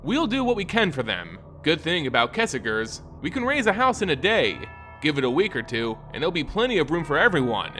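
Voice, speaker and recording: A man voicing a steady, encouraging woman who leads monster hunters in a fantasy story, plain-spoken and reassuring. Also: on the high side, fairly quick, soft background music.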